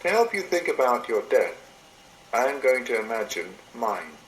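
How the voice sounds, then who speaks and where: A man's voice: medium pitch, very animated. Solemn man reading in a roomy, noisy recording. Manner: measured, solemn, deliberate phrasing. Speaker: reader of a meditation written by another. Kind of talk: read-aloud meditation, sermon-like address. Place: indoor room, recorded into a computer.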